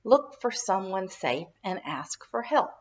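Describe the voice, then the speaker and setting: A woman reads as a gentle, protective mother from a children's story. Her delivery is earnest and melodic at a medium pitch. The recording sounds slightly dull. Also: big pitch swings, measured pace. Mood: reassuring.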